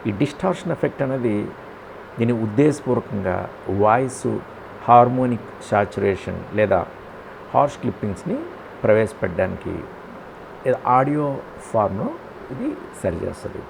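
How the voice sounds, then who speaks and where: A man with a Telugu accent giving a step-by-step software tutorial, posing questions and answering them himself with frequent check-in tags. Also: lowish voice, vocal fry, background noise. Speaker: audio editing tutor.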